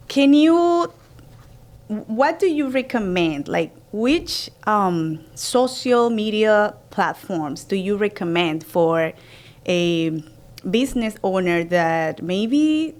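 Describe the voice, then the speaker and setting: A woman's voice: mid-range voice, expressive, takes it slowly. A woman hosting a radio show, asking questions and chatting casually with her guests. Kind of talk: casual conversational interviewing.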